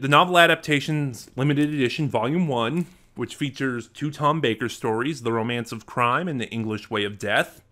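A young man with a bright, clear-edged voice talks his way through a casual unboxing vlog. He sounds enthusiastic and animated, gesturing as he speaks. He is recorded on a desk mic in a small room at home. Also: mid-range voice. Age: young adult. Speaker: vlogger. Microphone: desk mic in front of webcam.

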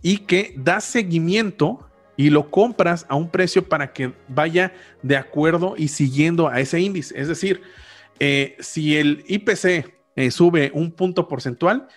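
A man's voice: on the high side, unhurried, soft background music. A man in his thirties with a Mexican accent, explaining things casually as a podcast host, often correcting himself as he goes, close on a studio microphone. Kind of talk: casual explanatory finance talk. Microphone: large studio microphone on a boom arm, close.

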